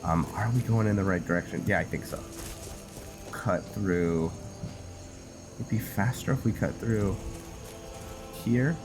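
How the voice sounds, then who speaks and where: A man giving casual game commentary, musing and halting as he thinks aloud to himself. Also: on the low side, over music. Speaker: gameplay host narrating a roleplay playthrough.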